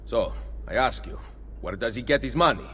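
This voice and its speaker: An actor playing a resolute, commanding leader of Italian assassins in a video game, with an Italian accent. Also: male voice, mid-range voice, expressive, moderate pace, sharp tone, slight background noise. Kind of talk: dramatic video game acting. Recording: long hall reverb, faint music behind.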